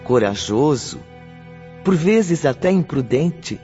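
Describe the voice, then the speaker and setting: Radio-drama narrator: Brazilian man, very slow and solemn, mid-pitched, faint music underneath. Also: very animated, warm tone. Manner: measured, dramatic phrasing. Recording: dull lo-fi audio, music behind.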